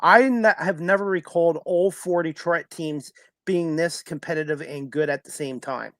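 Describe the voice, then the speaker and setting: A man's voice: on the high side, moderate pace, a bit bright, no echo, clean studio sound. A man in his fifties hosting a sports talk show, reading introductions and sponsor messages and asking questions in a formal announcer manner. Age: 50s.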